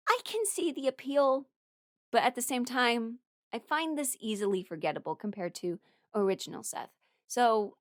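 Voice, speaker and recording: A woman giving casual, rambling opinion commentary as a host, candid and quick to soften her blunt takes with apologies. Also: fairly high pitch, no echo, clean studio sound. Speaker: host ranking audio drama characters.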